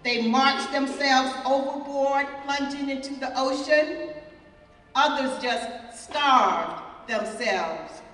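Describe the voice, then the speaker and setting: A woman performs a scripted dramatic spoken-word monologue in a solemn, deliberate, emphatic manner. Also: high voice, fairly bright tone. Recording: very echoey hall, distant microphone.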